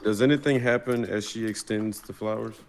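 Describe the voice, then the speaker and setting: A man's voice: on the low side, fairly quick. A man playing a tabletop game, declaring his character's actions in short, terse statements. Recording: faint background noise.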